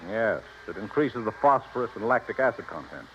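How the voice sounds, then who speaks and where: A man's voice: on the low side, lively intonation, fairly quick, a bit bright. A man acting a proud, secretive, impatient dairy ranch owner in a 1950s radio drama, speaking formally and precisely. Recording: muffled noisy old radio recording.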